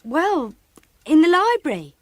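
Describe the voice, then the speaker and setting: Young maid, very high bouncy voice, artless and dutiful, dry sound. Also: female voice, big pitch swings, unhurried, no room sound. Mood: urgent. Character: young, artless, dutiful housemaid with homely speech. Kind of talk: radio drama acting.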